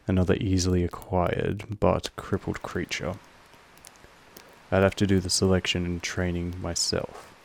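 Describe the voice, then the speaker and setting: Possessive male vampire master played by a man for roleplay audio: low, evenly pitched, measured, patronizing, aloof. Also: vocal fry, darkish tone, slight background noise, heavily acted. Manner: measured, formal, condescending. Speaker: voice actor in a roleplay audio drama. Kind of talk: slow intimate monologue addressed to the listener.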